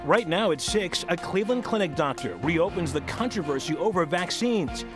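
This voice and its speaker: Male news promo voice, slightly high register, scripted headlines over clear music. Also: animated, normal speed. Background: prominent music bed.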